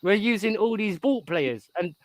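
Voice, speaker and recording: A man's voice: sits high, expressive, moderate pace, dry recording. A man in his thirties talking football on a voice call. He argues emphatically and keeps checking in with "right?". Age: 30s. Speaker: guest on a live stream. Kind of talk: casual football chat.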